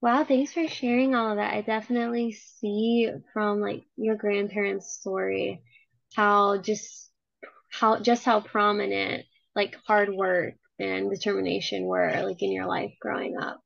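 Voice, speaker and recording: A woman's voice: mid-range voice, flat delivery, unhurried, creaky, no echo. A young woman interviewing a family member for a class project, friendly and encouraging, often saying "like". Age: young adult. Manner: friendly and encouraging, many "like" fillers. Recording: slightly dull, lo-fi audio.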